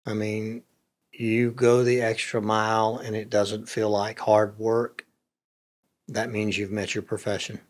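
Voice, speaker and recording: A man's voice: lowish voice, little pitch movement, measured pace. Guy podcast host, chatty interview style, affirming and emphatic. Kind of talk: conversational interview.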